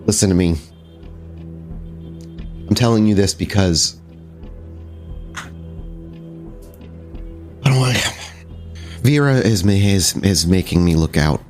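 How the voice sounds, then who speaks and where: A man voicing a worried, loyal young woman in a tabletop roleplay, halting and blunt, exasperated but affectionate. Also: deep voice, animated, a little mellow, soft background music. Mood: worried, earnest.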